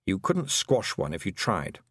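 A man's voice: sits low, wildly swooping pitch, a little slow, fairly bright tone, no echo, exaggerated voice. A man voicing a dry, knowing talking toad who mutters out of the side of his mouth.

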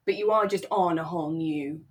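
Lower-pitched woman chatting reflectively. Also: brisk. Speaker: podcast co-host. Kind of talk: relaxed casual discussion of a radio drama.

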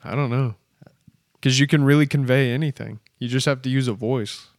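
A man co-hosting a casual podcast, laid-back and quick to agree. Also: mid-range voice, steady tone, no echo, studio quality. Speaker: podcast co-host. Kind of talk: casual chat.

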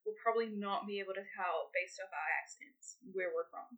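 A woman's voice: mid-range voice, fairly quick, a bit bright, poor audio. A young woman from New Zealand with a slightly American-leaning New Zealand accent, co-hosting a podcast in giggly, chatty banter full of fillers. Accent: New Zealand, said to lean American. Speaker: podcast co-host. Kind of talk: casual chat.

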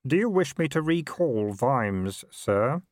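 A man's voice: medium pitch, sing-song, slow, dry recording, studio quality, pitched above the performer's own voice. A man voicing a deferential, concerned aide in an audiobook, formal and respectfully insistent. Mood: dutiful. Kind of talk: audiobook character voice.

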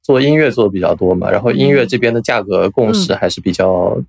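Fast-talking husky man, lively casual chat. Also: fairly low pitch, dry recording, studio quality. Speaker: podcast host.

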